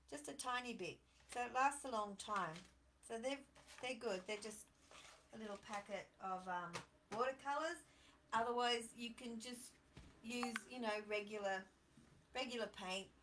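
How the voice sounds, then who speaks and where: A woman's voice: fairly high pitch, fairly bright tone, slight background noise. A woman hosting a live children's craft session, chatty and encouraging as she talks straight to young kids. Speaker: children's storytime host. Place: at home on a live video stream.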